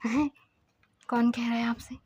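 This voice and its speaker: A woman voices a touchy young man caught out by his grandmother. He mutters in a quiet, half-whispered way, in a fairly high, mellow voice. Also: flat delivery, unhurried, slightly rough. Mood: defensive. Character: young man, defensive and easily irritated.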